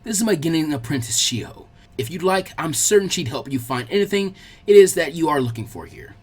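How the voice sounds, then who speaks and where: Middle-aged male librarian, read by a man, calm, measured, gently stern, audiobook fiction. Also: a little high, breathy edge. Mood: kindly. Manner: measured, mildly chiding. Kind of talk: fan fiction audiobook character voice.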